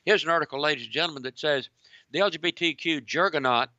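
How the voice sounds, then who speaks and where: A man's voice: a little high, quick pace, dry recording, lo-fi sound. A man hosting a talk radio show, speaking to his listeners in a preacherly way and interviewing a guest. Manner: preacherly, addresses listeners as ladies and gentlemen.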